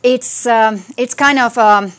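A woman's voice: fairly high pitch, slow, a bit bright. A woman from Finland with a Finnish accent, speaking English as a second language in an interview, hesitant and searching for words as a parliamentary candidate. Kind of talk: interview answers.

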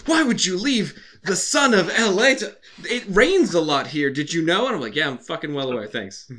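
A man's voice: fairly high pitch, animated, moderate pace, sharp tone. A man from Los Angeles hosting a podcast, loose and joking, laughing often. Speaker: podcast host. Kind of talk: casual chat.

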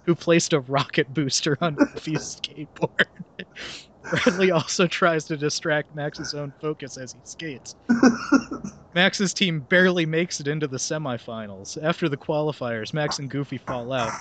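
A man's voice: on the high side, a bit bright. A man laughing through a wiki read. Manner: reads aloud with laughs and sarcastic asides. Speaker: podcast host reading a film synopsis. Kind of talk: casual comedic podcast chat.

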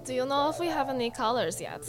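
A woman's voice: high-pitched, fast, music in the background. A woman playing an earnest Slovenian guest on a comedy podcast, speaking formally and literally in a put-on Slovenian accent. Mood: deadpan. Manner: formal, deadpan, oddly literal. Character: earnest Slovenian woman guest who tries to sound important. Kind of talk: improvised comedy character.

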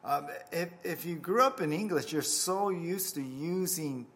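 A man's voice: on the high side, a little slow, fairly bright tone. A man whose first language was Japanese, a pastor opening with prayer and then giving a conversational, self-deprecating sermon full of restarts.

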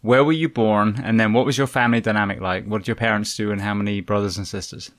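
A male podcast host with a fairly low voice interviews his guest with curiosity. He likes to share long asides of his own. The sound is dry and close. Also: fairly even intonation, fast, fairly bright tone, no echo. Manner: inquisitive, chatty, explains at length. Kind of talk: interviewing, conversational.

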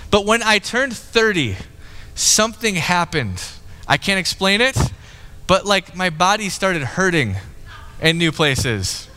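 A man's voice: sits high, sing-song, slow pace, pushed and bright, in a large hall. A man in his thirties preaching a sermon in a candid, conversational way, often restarting his sentences. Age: 30s. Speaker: pastor.

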